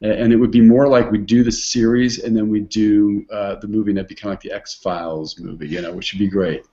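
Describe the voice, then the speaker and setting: A man's voice: fairly low pitch, level tone, brisk, dark tone. Rambling male host on a lo-fi stream. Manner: rambling, many restarts and fillers. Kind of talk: casual online hangout chat.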